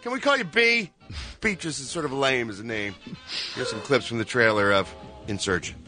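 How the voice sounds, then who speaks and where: Bright, jokey male movie reporter on radio, faint music behind. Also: mid-range voice, animated, normal speed. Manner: jokey, wisecracking. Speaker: entertainment reporter. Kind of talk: movie news segment on radio.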